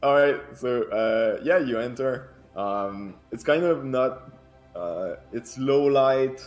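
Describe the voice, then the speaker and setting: A man's voice: mid-range voice, slow pace, soft background music. A man narrating a tabletop game as game master, hesitant with many fillers and restarts.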